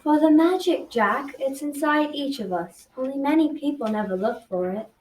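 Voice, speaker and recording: A woman's voice: high voice, normal speed, a little mellow, far from the mic, lightly acted, low-quality recording. A girl of about twelve or thirteen playing a wise, loyal talking pony in a school radio drama, reading earnestly and solemnly. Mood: calm warning. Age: child, around 12-13.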